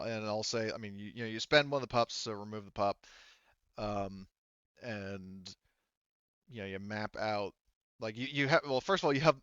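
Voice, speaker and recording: A man with a smooth, lowish voice acts as game master, explaining the game in a loose, conversational way peppered with filler phrases. The sound is close and dry, though slightly dull. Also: a bit bright, no room sound. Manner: hedging, conversational, heavy use of "you know" and "like". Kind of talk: casual tabletop game narration, explaining.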